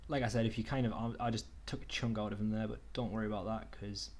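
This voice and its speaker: A young man casually talking through a screen-share tutorial on a video call, relaxed and chatty. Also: lowish voice, quick pace. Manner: relaxed, chatty, says "okie dokie" and "kind of". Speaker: online workshop tutor.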